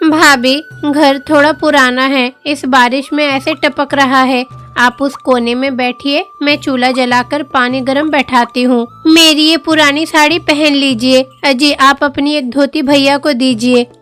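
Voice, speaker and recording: A woman voicing a kind, patient village wife who speaks softly and soothingly, for a cartoon story. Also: sits high, fairly even intonation, unhurried, soft background music. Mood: gentle.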